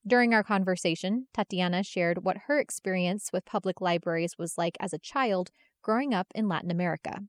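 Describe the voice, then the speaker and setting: A woman's voice: fairly low pitch, a little fast, no room sound. Woman host with a dry, close voice. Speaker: podcast host. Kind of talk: scripted introduction.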